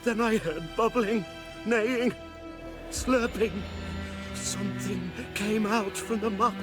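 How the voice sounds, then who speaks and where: An actor playing a frightened, self-pitying peasant trader in a fantasy game, moaning and plaintive. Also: male voice, sits high, steady tone, slow. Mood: fearful. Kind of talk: video game voice acting. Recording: music behind the voice. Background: music.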